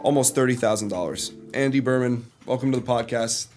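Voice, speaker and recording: A man's voice: medium pitch, fairly even intonation, brisk, music in the background. A man co-hosting a podcast, nasal from a cold, reading a guest introduction from notes. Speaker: podcast co-host.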